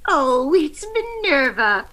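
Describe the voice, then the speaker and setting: High, bright, dotty older landlady. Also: sing-song, takes it slowly, slight background noise, heavily acted. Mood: puzzled, fond. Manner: scatterbrained, fluttery. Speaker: actor in a radio play recreation. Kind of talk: old-time radio comedy acting.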